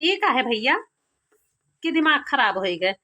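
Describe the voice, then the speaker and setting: Woman doing a village mom, awadhi cartoon, highish bright voice, coaxing and practical. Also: big pitch swings, unhurried, exaggerated voice. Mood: puzzled. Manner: coaxing, questioning. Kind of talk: cartoon comedy acting.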